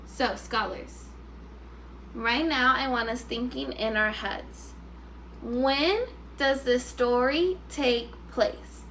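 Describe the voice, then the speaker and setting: A woman teacher reads to young children in an expressive, instructive way. The voice is fairly high and very bright, and there is faint noise in the recording. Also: slow pace. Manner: expressive, instructive, repeats questions for pupils. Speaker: first grade teacher. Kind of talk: children's read-aloud with teaching questions.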